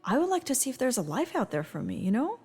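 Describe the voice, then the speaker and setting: A woman's voice: sits high, very animated, quick pace, darkish tone, husky, in a large hall, full character voice. An actress playing a blunt, wry young woman agent in casual audio drama dialogue. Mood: wry, reluctant.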